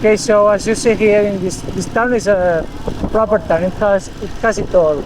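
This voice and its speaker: A man with a notably high voice talks in halting second-language English, often restarting his sentences as he vlogs on foot through a small town. Light engine and traffic noise runs behind him outdoors. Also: fairly even intonation. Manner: halting, with many restarts and self-corrections. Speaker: travel vlogger. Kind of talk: casual walk-and-talk vlog. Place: outdoors in a small town's streets and market.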